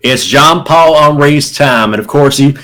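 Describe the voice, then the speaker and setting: Man, very high voice, hyped announcer, comedic live race commentary. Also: a little slow, fairly bright tone.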